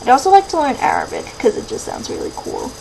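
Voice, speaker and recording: High-voiced young American woman, creaky, noisy recording. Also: huge pitch swings, fast. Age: 20s. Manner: rambling, self-interrupting asides. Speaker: vlogger answering viewer questions. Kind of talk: casual chat to camera. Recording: clearly noisy background hiss and ambience.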